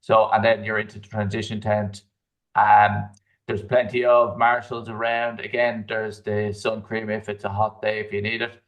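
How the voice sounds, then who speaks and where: A man who is a triathlete and guest coach, giving relaxed advice full of "like" and "um". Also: fairly low pitch, moderate pace, distant mic, rough recording. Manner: relaxed, fillers like "like" and "um". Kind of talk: casual advice chat.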